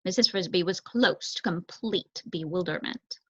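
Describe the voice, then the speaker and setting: A young woman narrating a children's novel aloud in an expressive storytelling style, on a slightly dull, dry recording. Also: lowish voice, moderate pace, breathy edge, dry recording. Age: young adult. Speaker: student storybook reader.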